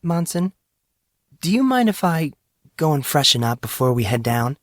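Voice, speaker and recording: A man's voice: a little high, very animated, normal speed, no room sound, pitched above the performer's own voice. A man plays a distressed teenage girl in a dramatised audiobook. The voice is lifted well above a man's usual register and sounds hesitant and pleading, as if confessing something painful. The recording is close and dry.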